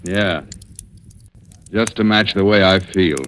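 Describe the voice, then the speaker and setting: Low-voiced, downhearted homicide detective. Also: male voice, level tone, slow, fairly bright tone. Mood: glum. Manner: hard-boiled slangy talk, glum. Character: discouraged, self-deprecating male homicide detective in love. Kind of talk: 1940s radio drama acting. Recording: noisy old radio recording.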